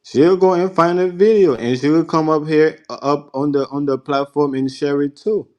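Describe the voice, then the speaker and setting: A man from the Virgin Islands with an island accent, speaking as a guest in a casual live-stream chat, repeating himself defensively with many halting restarts. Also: on the high side, fairly even intonation, darkish tone, highs cut off. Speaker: panel guest.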